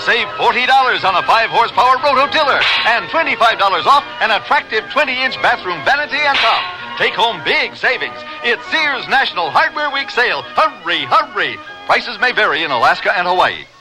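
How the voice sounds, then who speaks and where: A man's voice: high voice, very animated, fairly quick, music in the background, rough recording. A man reading a radio commercial as an announcer in the style of a carnival barker.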